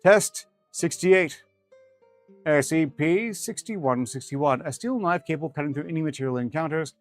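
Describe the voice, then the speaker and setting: A man's voice: fairly high pitch, fairly quick, no room sound. A man reading fiction aloud as a streamer, with dry, rambling asides in formal wording. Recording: music behind the voice.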